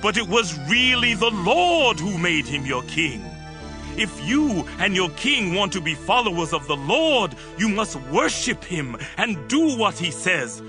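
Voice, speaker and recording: A man's voice: high-pitched, big pitch swings. A man playing a stern, solemn prophet admonishing his people in a dramatized scripture reading. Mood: solemn warning. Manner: slow, grave, exhorting. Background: music.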